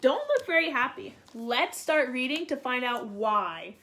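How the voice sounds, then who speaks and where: A high-voiced woman reads aloud and explains things for young children. Her pitch swings a lot and she goes slowly, in simple words with frequent questions. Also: expressive, bright, forceful tone, a little hiss or hum. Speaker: children's read-aloud teacher. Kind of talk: explaining and reading a picture book to young children.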